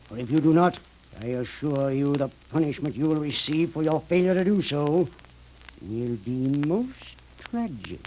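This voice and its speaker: An actor playing a sinister, greedy professor in 1930s radio horror style, speaking formally and with deliberate menace. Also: male voice, on the high side, lively intonation, darkish tone. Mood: menacing. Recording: noisy, crackly 1930s radio recording.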